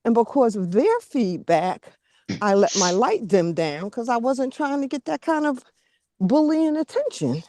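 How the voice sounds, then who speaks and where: A woman in a casual, reflective chat, speaking earnestly in short phrases with pauses mid-sentence. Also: fairly high pitch, big pitch swings, warm tone, dry recording.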